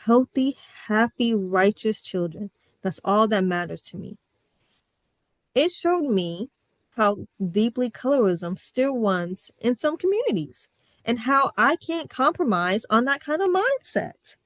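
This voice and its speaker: A woman's voice: a little high, lively intonation, measured pace, dry recording. Candid sassy woman podcast host. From Midwest US. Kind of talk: casual podcast chat.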